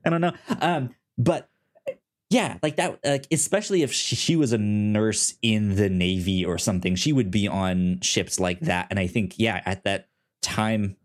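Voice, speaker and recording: A man co-hosting a casual podcast discussion, speaking haltingly and often repeating syllables and words in a stutter-like way. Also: lowish voice. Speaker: podcast co-host.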